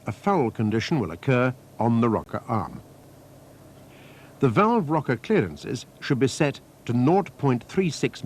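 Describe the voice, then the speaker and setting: A man reading a scripted technical training film narration in a measured, precise, instructional manner. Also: medium pitch, a little mellow. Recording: noisy archive training-film soundtrack.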